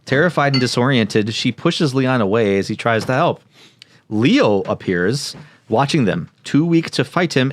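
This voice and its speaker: A man with a medium-pitched voice, recorded dry with no room sound. He co-hosts a comedy podcast, reading a recap aloud and reacting to it in a wry, mock-serious way. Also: dry recording. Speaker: podcast co-host. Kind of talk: casual comedic recap, part read aloud.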